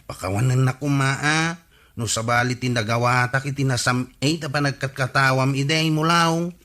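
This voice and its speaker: A man's voice: medium pitch, steady tone, moderate pace, sharp tone, natural voice. A man playing a touchy, defensive villager in a radio comedy-drama, speaking with an Ilocano accent. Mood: apologetic. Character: touchy male villager, defensive then apologetic.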